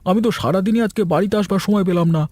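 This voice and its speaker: Guy doing cartoon village son, high mellow voice, talks quick, chatty and nagging. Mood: questioning. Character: grown village son, teasing, nagging, hungry. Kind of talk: Bengali cartoon story dialogue.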